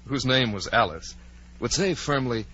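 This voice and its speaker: A man's voice: medium pitch, expressive, normal speed, bright, forceful tone. A man narrating a storybook tale in a scripted 1940s radio drama style. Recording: muffled old 1940s radio recording.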